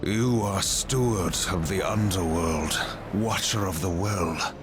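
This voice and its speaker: A man's voice: low-pitched, takes it slowly, music in the background. An actor playing a stern, vengeful horseman in a video game, terse and commanding. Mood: stern, accusing. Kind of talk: scripted video game hero dialogue.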